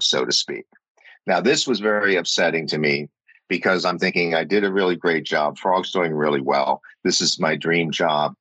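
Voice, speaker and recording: A man's voice: rumbling low, sharp tone, no room sound, poor audio. A middle-aged man reflecting in an interview, talking thoughtfully with many fillers and restarts. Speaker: guest, former company chief and novelist.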